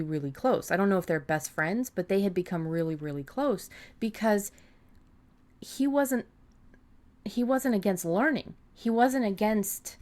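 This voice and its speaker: A woman's voice: mid-range voice, a little mellow. A woman co-hosting a podcast, talking casually and informally about writing, often rambling and correcting herself. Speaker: podcast co-host. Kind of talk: casual writing-advice discussion.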